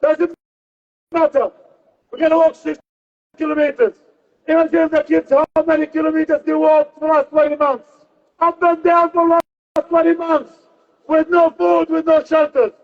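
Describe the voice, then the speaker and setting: A man gives an impassioned political speech at a rally, declaiming forcefully and repeating phrases between pauses. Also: extremely high pitch, little pitch movement, phone-quality sound. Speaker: protest rally speaker.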